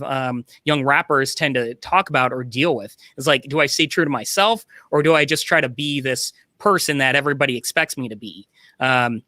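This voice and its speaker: A man talking casually and conversationally as a panel guest pitching a book, in an animated way. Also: no echo, studio quality.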